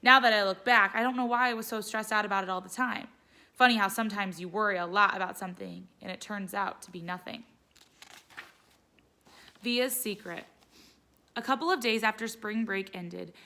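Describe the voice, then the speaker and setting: A woman reading a children's story aloud as a teacher would, in an expressive narrating style. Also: mid-range voice.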